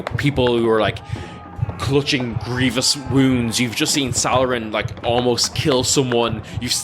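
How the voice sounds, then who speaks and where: Man improvising as a tabletop game master. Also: mid-range voice. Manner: halting, filler-heavy descriptive improvising. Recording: background music under the voice.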